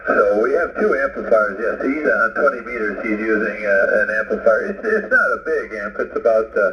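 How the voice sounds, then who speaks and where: A man's voice: lowish voice, lively intonation. Man on a muffled, noisy radio link. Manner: halting, pauses often mid-phrase, friendly. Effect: shortwave-style radio transmission. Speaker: visiting amateur radio operator. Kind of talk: casual ham radio rag-chew. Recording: muffled, noisy single-sideband radio reception. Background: radio static and noise.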